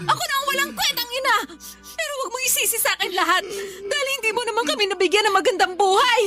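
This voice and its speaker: A hysterical, defensive mother in a radio melodrama. Her voice is extremely high, with wailing protest in the delivery. Music plays clearly behind her. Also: lively intonation, quick pace. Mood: bitter, defiant. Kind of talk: melodramatic radio drama acting.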